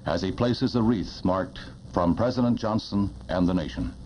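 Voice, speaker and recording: Solemn deep-voiced American newsreel man, dull vintage soundtrack. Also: background noise. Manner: grave, reverent, measured oratory. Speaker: newsreel narrator. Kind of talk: solemn 1960s newsreel narration. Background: loud music.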